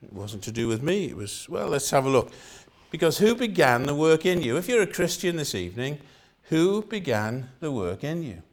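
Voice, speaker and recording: A man's voice: a little high, very animated, moderate pace, hardly any pauses. An older man from Wales with a Welsh accent, preaching a sermon in an earnest style and repeating phrases for emphasis.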